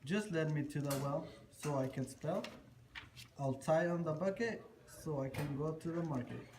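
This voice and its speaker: A man's voice: a little high, normal speed, a little mellow, lightly acted. A man playing a lazy, boastful wanderer in an amateur rhyming puppet show. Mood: musing. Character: Marco, a lazy, boastful wandering male thinker. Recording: noisy amateur recording with clicks.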